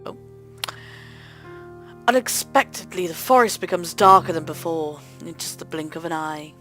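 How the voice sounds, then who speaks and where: A woman hosting a let's play, reading visual novel narration aloud in an expressive, theatrical way. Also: low-pitched, normal speed, soft background music. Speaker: host of a gaming let's play channel.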